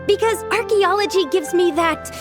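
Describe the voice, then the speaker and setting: A woman's voice: extremely high pitch, normal speed. A woman playing an earnest, eager young village woman in a video game, speaking expressively. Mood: enthusiastic. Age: young adult. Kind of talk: video game character acting. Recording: loud music behind the voice. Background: game music.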